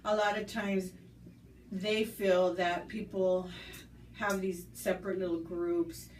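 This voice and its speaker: A woman's voice: lowish voice, flat delivery, slow, a little mellow. A woman who was born in the United States, being interviewed and speaking earnestly and thoughtfully, with fillers and restarts. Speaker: school staff member interviewed. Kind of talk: conversational interview. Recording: roomy, distant mic, faint background noise.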